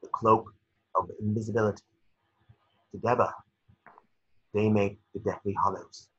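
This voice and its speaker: Husky, low eccentric old wizard acted by a man. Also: level tone, moderate pace, fairly bright tone. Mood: grave, distracted. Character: eccentric, absent-minded older wizard father. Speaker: narrator and actor in table read. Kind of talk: scripted stage-direction narration and character reading. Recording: dull video call audio.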